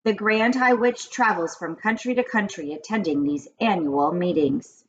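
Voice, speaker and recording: A woman voicing an old grandmother in a children's storybook, confiding grave witch lore in a deliberate, dramatic way. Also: medium pitch, lo-fi sound, natural voice. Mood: ominous. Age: older. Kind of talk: children's storybook read-aloud.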